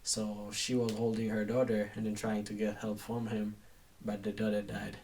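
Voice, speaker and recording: A man in his twenties from Haiti, a podcast guest who tells his story in a flat, matter-of-fact way. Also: on the low side, normal speed, recorded from a distance, poor audio. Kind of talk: casual interview storytelling.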